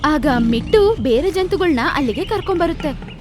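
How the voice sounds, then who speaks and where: A woman's voice: sits high, lively intonation, normal speed, noisy background. A woman narrating a scripted animal story for children in a storyteller's style.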